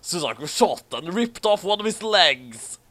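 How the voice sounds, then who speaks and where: A man's voice: high voice, sing-song, a little slow, bright, forceful tone. A man hosting a commentary, reading a fan fiction aloud in an amused, casual way. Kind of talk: dramatic read-aloud mixed with casual commentary.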